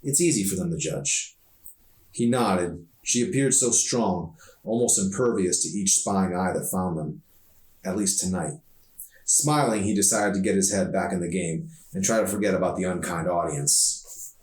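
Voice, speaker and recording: A man reading his own novel aloud as an author, in expressive audiobook narration. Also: low-pitched, moderate pace. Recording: echoey room, distant mic.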